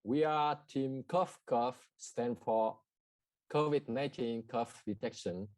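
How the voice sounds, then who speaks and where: A man's voice: medium pitch, slow pace, a little mellow. A man from Vietnam, introducing his team for a presentation.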